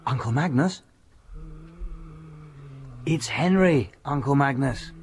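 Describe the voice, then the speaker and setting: An actor playing an earnest, worried young gentleman with an English accent in a radio drama, well-spoken and impulsive. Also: mid-range voice, takes it slowly, a little hiss or hum, lightly acted. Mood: concerned, coaxing.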